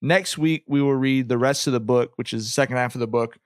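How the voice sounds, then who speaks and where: A man's voice: medium pitch, brisk, clear, resonant tone, no echo, clean studio sound. A man hosting a podcast in loose, informal chat with some slang. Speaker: podcast host. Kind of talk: casual chat.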